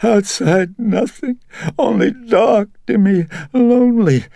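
A man's voice: on the high side, expressive, moderate pace, creaky, dark tone, slightly rough, dry recording, clean studio sound, pitched above the performer's own voice, exaggerated voice. A man reading aloud and voicing a frightened, tearful old mother who pleads in broken English. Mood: tearful pleading.

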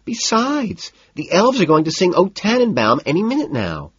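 Man acting a fretful society woman, audiobook character, high, plaintive, whining, husky, dry sound. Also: huge pitch swings, moderate pace, a bit bright, no room sound, muffled recording, full character voice. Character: whiny, fretful young society woman, put-upon girlfriend.

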